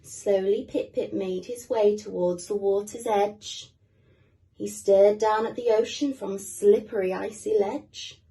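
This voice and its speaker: A woman's voice: mid-range voice, fairly even intonation, unhurried, dark tone, recorded from a distance. A British woman reading a children's storybook expressively, with asides to young listeners. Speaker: guest reader.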